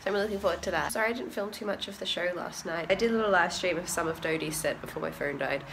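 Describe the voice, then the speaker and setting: A woman's voice: lowish voice, very fast. A woman with an Australian accent, sick and congested, vlogging on a phone in a bubbly, self-deprecating way. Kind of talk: casual vlog chat. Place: busy convention hall. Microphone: handheld phone camera. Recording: loud crowd noise behind. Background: loud crowd chatter.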